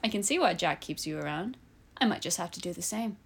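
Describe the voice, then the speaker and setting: A woman's voice: lowish voice, animated, fairly quick, gravelly, husky, exaggerated voice. A woman voicing a smug, menacing older male gangster with a purring, patronizing manner as she reads her own story aloud. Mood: smug menace. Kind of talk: reading own fiction aloud.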